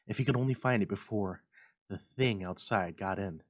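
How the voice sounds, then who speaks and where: Guy reading a spooky story out loud, fairly deep voice, dramatic suspenseful narration. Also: normal speed. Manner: suspenseful, theatrical. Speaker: library staff storyteller.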